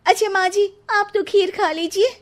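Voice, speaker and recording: A woman's voice: very high-pitched, steady tone, normal speed. A woman voicing a quarrelsome young daughter-in-law in a cartoon, taunting and bickering. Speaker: voice actor. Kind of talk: cartoon voice acting.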